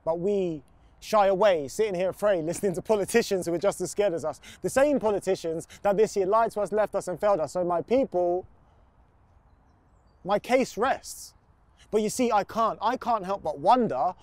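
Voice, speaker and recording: High-pitched young man performing poetry. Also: lively intonation, moderate pace, a little mellow, no room sound. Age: young adult. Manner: declamatory, rhetorical. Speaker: spoken word poet. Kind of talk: spoken word poetry performance.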